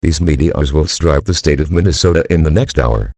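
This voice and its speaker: A male synthetic-style voice as an impersonal emergency alert announcer, reading formal warnings in a flat, clipped manner. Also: extremely low pitch, brisk, no room sound, studio quality. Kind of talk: scripted emergency broadcast announcement.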